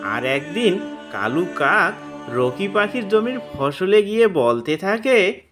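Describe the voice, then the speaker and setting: Narrator for a children's cartoon tale, animated melody, slow deliberate storytelling over music. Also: medium pitch.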